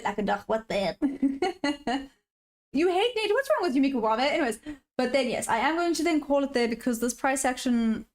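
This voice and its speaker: Chatty woman streamer, fairly high voice. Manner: chatty, reads viewer questions aloud and answers them; upbeat sign-off, friendly to viewers. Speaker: day-trading live streamer. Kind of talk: casual live-stream chat while trading.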